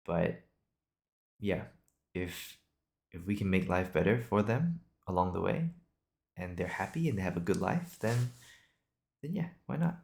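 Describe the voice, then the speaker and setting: Low, creaky-voiced young man chatting off the cuff. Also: animated, unhurried, long pauses, a little mellow. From Singapore and Australia. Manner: rambling, hesitant, thinking aloud. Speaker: video podcast host. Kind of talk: casual improvised solo chat.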